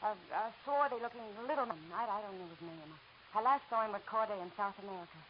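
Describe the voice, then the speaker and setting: A woman's voice: mid-range voice, lightly acted. Muffled anxious radio-drama woman played by an actress. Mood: nervous, explaining. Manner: earnest, pleading, halting. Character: earnest, anxious, secretive woman client in trouble. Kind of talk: 1940s radio noir acting. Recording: muffled, hissy old radio recording.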